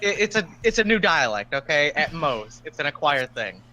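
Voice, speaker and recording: Male player, crude joker, pitched a bit high. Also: big pitch swings, moderate pace, sharp tone, rough recording. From Kentucky, USA. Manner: crude, joking.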